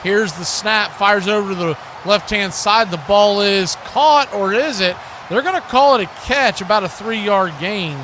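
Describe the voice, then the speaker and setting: A man's voice: high-pitched, a little slow, hardly any pauses, fairly bright tone, slight background noise. A man giving live play-by-play commentary on a football game.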